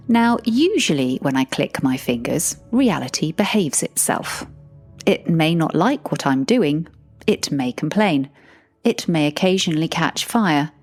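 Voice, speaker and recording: Woman telling a comedy horror story, low voice, dry and sarcastic narrator. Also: darkish tone, breathy edge. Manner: dry, sardonic. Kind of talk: first-person comic audio drama storytelling.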